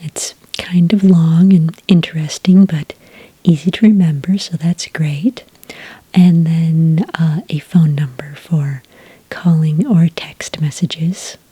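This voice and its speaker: A woman doing a soft-spoken ASMR roleplay as a polite, patient clerk, whispering gently close to the microphone. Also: sits low, fairly even intonation, dry recording. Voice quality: whispery, breathy. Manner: gentle, polite, unhurried customer-service patter. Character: friendly, patient female registration clerk at a hunting agency.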